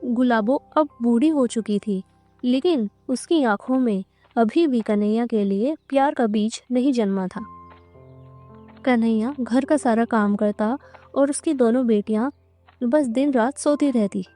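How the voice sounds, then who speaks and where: A woman narrating a scripted moral story in a measured, dramatic storytelling manner. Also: on the high side, warm tone, soft background music.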